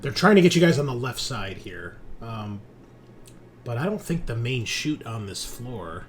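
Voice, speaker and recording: A man's voice: medium pitch, sing-song, measured pace. A man narrating a tabletop roleplaying game as game master, informal and improvised, sometimes projecting and sometimes muttering. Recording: faint room noise.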